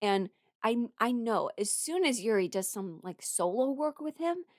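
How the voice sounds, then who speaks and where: A woman's voice: a little high, sing-song, measured pace, darkish tone, dry recording, studio quality. A woman rambling casually as a fan, gushing and emphatic, with dramatic exclamations and casual swearing. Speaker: voice content creator ranking characters.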